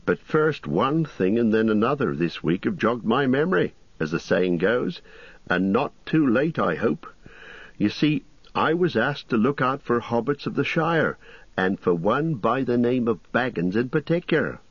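A man voicing a fussy, apologetic middle-aged innkeeper who chatters and rambles, with a husky voice. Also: medium pitch, sing-song, measured pace, dry recording, dull audio, pitched above the performer's own voice. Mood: fussy, rambling.

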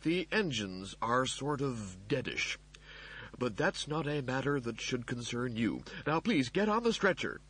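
A man's voice: medium pitch, sing-song, unhurried, fairly bright tone, husky, voice pushed up, heavily acted. A man voicing a male android servant in an audiobook, overly soothing and fussy while hiding panic. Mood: soothing, suppressed panic. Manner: overly soothing, euphemistic reassurance. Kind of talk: audiobook character voice.

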